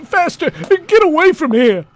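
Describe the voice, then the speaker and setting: High-pitched, stammering nervous henchman. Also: sing-song, measured pace, heavily acted. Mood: panicked. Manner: stammering, hesitant, starting with "uh". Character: jittery, slow-witted henchman of a crime boss. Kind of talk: 1940s radio serial acting.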